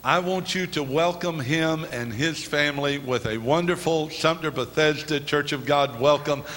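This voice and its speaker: A man hosting at a church introduces a guest preacher to the congregation in deliberate, emphatic short phrases. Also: fairly high pitch, a bit bright. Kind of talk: ceremonial spoken introduction to a congregation. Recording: echoey church hall, some background noise.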